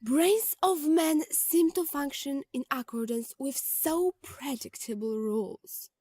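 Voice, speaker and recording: A woman's voice: high voice, slow pace, warm tone, no room sound. An actress playing an ironic, amused woman who teases in a condescending way, in an audio drama. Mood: ironic amusement. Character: ironic, amused woman mocking a painter friend.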